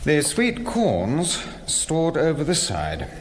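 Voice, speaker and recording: An actor playing a polite, evasive British factory colleague in a radio drama, courteous, hesitant and understated. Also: male voice, a little high, huge pitch swings, slow pace, slight background noise, heavily acted. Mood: polite, guarded.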